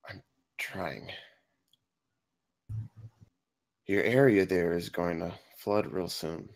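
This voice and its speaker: Injured trapped survivor, male, played by an actor at a table read: low gravelly voice, sardonic, stubbornly protective. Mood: weak, resigned. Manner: wry, blunt, halting through pain. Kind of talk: acted table read of a film script.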